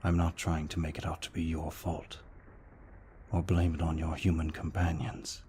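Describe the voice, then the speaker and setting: A man playing a courteous, protective young vampire aristocrat in an ASMR audio roleplay, speaking formally in a hushed, measured way. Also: rumbling low, completely flat delivery, a little hiss or hum. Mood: apologetic, courteous. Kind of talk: intimate ASMR roleplay acting.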